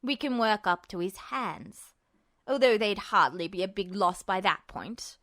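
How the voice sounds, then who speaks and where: A woman's voice: mid-range voice, dry recording, clean studio sound, voice pushed down. A woman voicing a cold, sadistic man, a smug villain who taunts and threatens in an audiobook story. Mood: cold, menacing. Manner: taunting, softly drawn-out threats.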